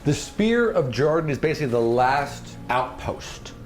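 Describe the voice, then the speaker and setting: A man's voice: mid-range voice, sing-song, a little slow. A man improvising narration as game master of a tabletop fantasy game, with vivid, dramatic delivery. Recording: music behind the voice.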